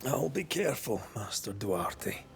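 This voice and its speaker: A man's voice: medium pitch, big pitch swings, a little slow, gravelly, background noise. A man playing an easygoing, teasing ghost hunter in a video game cutscene.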